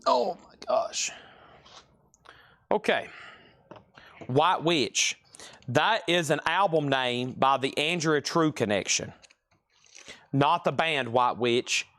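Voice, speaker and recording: A man hosting a live record sale stream, briskly listing items and current bids with chatty asides to viewers. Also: mid-range voice, a bit bright.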